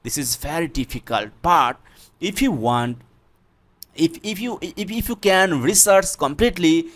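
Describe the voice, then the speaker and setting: A man with a Bangladeshi accent presents an unscripted software tutorial, explaining step by step in a halting, repetitive way. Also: fairly high pitch, lively intonation. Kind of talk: unscripted screen-recorded tutorial.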